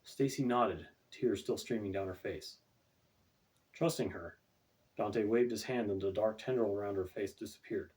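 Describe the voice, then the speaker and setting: A man's voice: lowish voice, normal speed, darkish tone, poor audio. A man reading his own fantasy novel as scripted audiobook narration, recorded away from the microphone.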